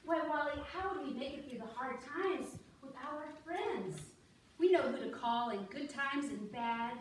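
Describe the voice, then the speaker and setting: A woman's voice: high-pitched, animated, normal speed. A woman playing a sweet, doting wife in an amateur reader's theater performance, recorded from a distance in a church. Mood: fond. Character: sweet doting wife Susie, a kindergarten teacher. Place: on stage in a church, far camera. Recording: very echoey hall, distant mic, noisy.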